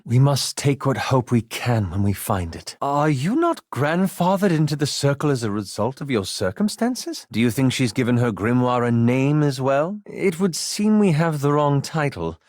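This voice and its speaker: A man's voice: mid-range voice, very animated, normal speed, few pauses, a little mellow, no echo. A man voicing a scholarly male elf wizard in a video game, with precise, formal diction and a dry, wryly sarcastic manner. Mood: grave, measured, then dry, disdainful. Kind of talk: video game character dialogue.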